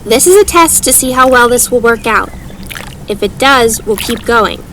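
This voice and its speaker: Woman playing determined young mage woman, fairly high pitch, projected, instructing, earnest, audio drama, slight background noise. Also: expressive, moderate pace, lightly acted. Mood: firm, instructing. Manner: projected, clear instructing, calling out to a group.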